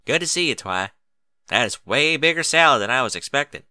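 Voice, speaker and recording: A man's voice: medium pitch, animated, brisk, bright, forceful tone, clear, resonant tone, no echo, pitched above the performer's own voice. A man voicing a plain-spoken, hospitable female farm pony with a folksy, teasing manner in a story reading. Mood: brisk, friendly.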